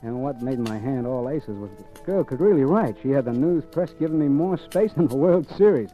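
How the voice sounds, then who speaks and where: An actor playing a cocky, cynical drifter in 1940s radio style, with slangy, offhand wisecracks. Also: male voice, medium pitch, animated, fairly quick, dark tone, natural voice, low-quality recording. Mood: smug. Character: cocky, cynical drifter man accused of murder. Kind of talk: 1940s radio acting, first-person narration and dialogue. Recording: old radio recording with music behind.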